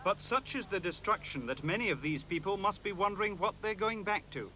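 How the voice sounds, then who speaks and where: A man's voice: high voice, a little fast, bright, forceful tone, slight background noise, rough recording. A man narrating a scripted report as a foreign news correspondent.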